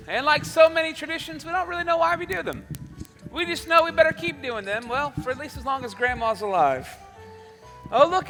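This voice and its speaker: A man plays a jovial old-time radio announcer with a wry comic delivery. His voice is very high and bright, carrying through an echoey hall. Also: exaggerated voice. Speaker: radio show announcer and host. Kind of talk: comic sponsor spiel and monologue. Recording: echoey hall, faint music.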